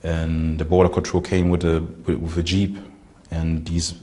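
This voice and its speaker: Low, flat-voiced young man. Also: a little hiss or hum. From Germany. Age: 20s. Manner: halting, searching for words. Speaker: interviewee, former militant. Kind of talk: recounting in an interview. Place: indoor room with barred windows.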